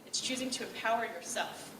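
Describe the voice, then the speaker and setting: A young woman giving a personal speech, speaking in a loud, direct and outgoing way. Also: a little high, brisk, far from the mic, noisy background. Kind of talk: personal speech on gender roles. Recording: echoey room, voice from afar.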